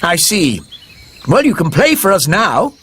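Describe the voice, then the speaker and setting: A bumbling British fairy king in a children's cartoon. He is pompous but hesitant, speaking slowly with lively rises and falls. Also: sits high, slight background noise, full character voice. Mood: commanding. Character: bumbling fairy king, awkwardly polite husband and father.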